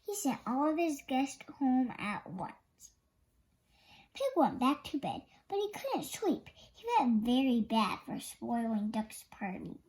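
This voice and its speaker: A young child narrates a storybook in a high, lively voice. The reading is slow and a little halting, with small stumbles that get corrected. The pitch moves up and down expressively. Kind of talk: read-aloud story narration.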